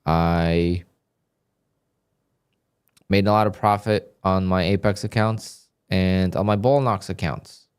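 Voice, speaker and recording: Low-voiced man streaming his trading. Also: takes it slowly, long pauses, clean tone. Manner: flat, dry, sounds annoyed even when content. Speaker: day trader livestreamer. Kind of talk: live stream commentary, reading donations.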